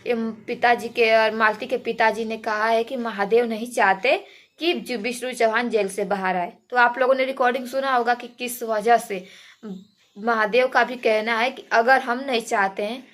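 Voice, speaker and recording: A woman's voice: on the high side, fairly even intonation, moderate pace, fairly bright tone. A woman gives informal commentary to viewers, emphatic and repeating phrases for stress. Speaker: reaction video host. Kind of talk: informal commentary on a viral dispute, addressed to viewers.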